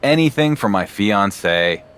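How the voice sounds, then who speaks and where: An actor playing a young champion wrestler who talks in a dry, sarcastic way. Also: male voice, lowish voice, lively intonation, unhurried, a bit bright, smooth.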